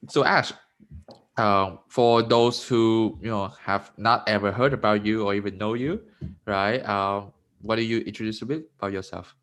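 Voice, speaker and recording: Upbeat male podcast host, lower voice. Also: fairly even intonation, normal speed, a bit bright. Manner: upbeat, filler-heavy, says "you know" often. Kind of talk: casual podcast hosting.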